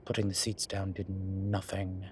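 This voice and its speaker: Slow, low-voiced suave demon professor played by a man. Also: completely flat delivery, slight background noise. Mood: wry, confiding. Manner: formal, measured, teasing, wry. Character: suave male incubus demon professor, wry, vain, unhurried. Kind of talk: scripted audio roleplay.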